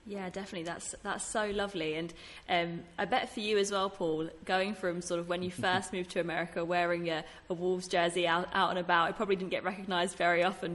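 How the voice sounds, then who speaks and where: Encouraging English woman interviewer, low voice. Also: a bit bright. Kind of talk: casual interview.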